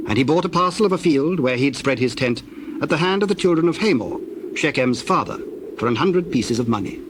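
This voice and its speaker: Male Bible narrator: medium pitch, solemn, measured, formal, with noticeable birdsong and dove noise behind. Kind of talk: solemn scripted scripture narration.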